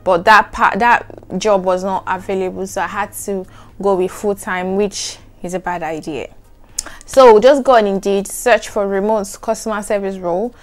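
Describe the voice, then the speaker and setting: A young adult woman with a soft, soothing voice, chatting to camera in an animated, emphatic way. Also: mid-range voice, moderate pace. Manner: animated, emphatic, repeats "trust me". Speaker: vlogger. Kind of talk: casual chat to camera.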